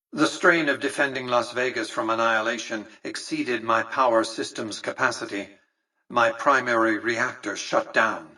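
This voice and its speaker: A calculating older tycoon from a video game, a man used to command. His voice is bright and forceful with a husky grain. He speaks formally and precisely, looking down on whoever he addresses, and sounds set somewhat back from the microphone. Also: mid-range voice, far from the mic, poor audio. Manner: condescending, precise, formal, exacting. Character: imperious, calculating older male tycoon commanding a robot army. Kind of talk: scripted video game character dialogue.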